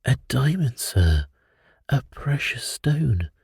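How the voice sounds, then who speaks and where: Humble, deferential Victorian commissionaire played by a male reader, excitable and amazed, husky, swooping storybook delivery. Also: medium pitch, takes it slowly, no echo, clean studio sound, pitched above the performer's own voice, exaggerated voice. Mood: awed. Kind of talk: bedtime storybook reading.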